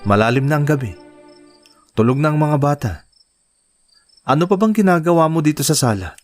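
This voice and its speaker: An actor playing a tired, apologetic husband and father in a radio drama, speaking softly and caringly. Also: fairly high pitch, animated, fairly quick, soft background music, natural voice.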